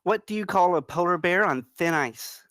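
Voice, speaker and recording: A woman's voice: on the low side, moderate pace, fairly bright tone, no echo, studio quality, lightly acted. A woman playing a flirty, confident woman in her twenties at a screenplay table read. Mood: teasing.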